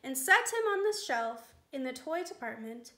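A woman reading a children's picture book aloud, gentle and expressive. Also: high-pitched, moderate pace. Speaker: storytime reader.

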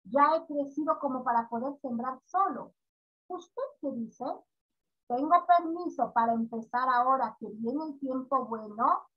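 A woman's voice: sits high, unhurried, muffled recording. A woman reading aloud the lines of a timid little boy asking permission to farm, from a storybook. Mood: earnest. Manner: read aloud plainly from a storybook.

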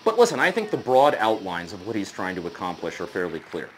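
A man's voice: deep voice, huge pitch swings, brisk, a little hiss or hum, low-quality recording. Fluent man explaining at length. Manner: fluent and explanatory, with stammered restarts. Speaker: interview guest, Vatican analyst. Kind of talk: conversational analysis. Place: indoor room with lamp and curtains.

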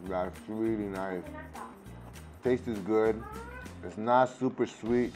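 A man in his thirties with a husky voice from losing it the day before, chatting casually at a home table as he tastes and reviews a snack. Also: fairly low pitch, takes it slowly, music in the background. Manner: easygoing, thinks aloud with fillers, switches to Spanish with his kids. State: husky, recovering from lost voice. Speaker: food reviewer. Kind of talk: casual taste-test vlog. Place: home room at a table, indoors. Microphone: lapel.

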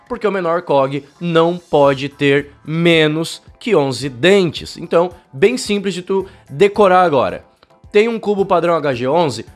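A young man from Brazil hosts a cycling channel, talking to camera and explaining with his hands while he has a bad cold. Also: a little high. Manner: fast, chatty, explains with hand gestures. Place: home studio room. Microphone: fluffy-windscreen desk mic, close.

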